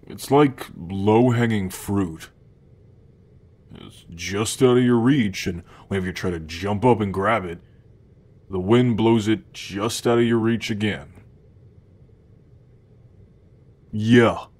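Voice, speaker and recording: A man's voice: on the low side, a little mellow. A man playing an always-hungry, playful and grumpy demon in an ASMR roleplay, speaking in a casual, slangy way. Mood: wistful. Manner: casual slangy talk with frequent chuckles. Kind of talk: ASMR roleplay addressed to the listener.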